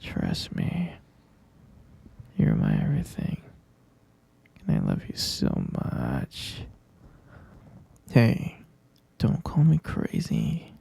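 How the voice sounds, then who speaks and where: Possessive captor boyfriend, played by a man, dark breathy gravelly whisper, slow and dry, right on the mic. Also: a bass voice, huge pitch swings, dry recording, exaggerated voice. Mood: sweetly obsessive. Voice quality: soft whispering, coaxing and possessive. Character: obsessive possessive young male captor boyfriend. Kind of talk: whispered ASMR roleplay.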